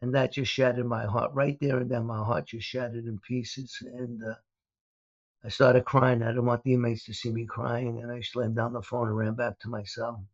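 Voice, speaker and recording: Older New guy, gravelly, meandering storyteller, lo-fi dry sound. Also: medium pitch, flat delivery, moderate pace, no room sound. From Brooklyn, New York. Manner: rambling, many uh fillers and restarts. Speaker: guest, former mobster turned speaker. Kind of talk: storytelling interview. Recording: dull, dry lo-fi audio.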